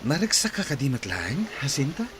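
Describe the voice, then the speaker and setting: A man's voice: mid-range voice, huge pitch swings, fairly quick, crowd noise. An actor playing an easygoing village man, pleased with his lot, in an Ilocano radio drama with an Ilocano accent.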